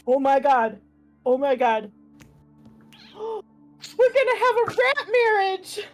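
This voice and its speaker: High-voiced, unhurried, playful woman. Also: very animated, faint music behind. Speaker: tabletop game player. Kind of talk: casual in-game chat and roleplay.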